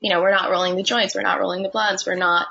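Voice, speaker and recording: A young woman who works as a budtender, answering interview questions in a relaxed, chatty way with lots of fillers. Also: medium pitch, fast, gravelly, breathy edge. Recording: dull lo-fi sound.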